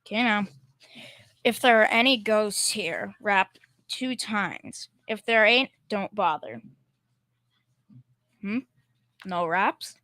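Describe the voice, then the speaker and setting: Young woman doing cowardly blowhard radio sitcom dad, folksy wisecracks, mid pitch for a woman, dry recording. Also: slow pace, pauses often, pushed and bright, dry recording. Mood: nervous. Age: teenager. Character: blustering, cowardly middle-aged working-class father. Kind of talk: old-time radio comedy acting.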